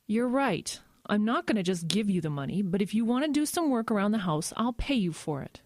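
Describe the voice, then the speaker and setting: A woman playing a firm, patient mother in an audio drama, speaking in a measured way. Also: medium pitch, lively intonation, darkish tone, no room sound, studio quality, close to an everyday voice.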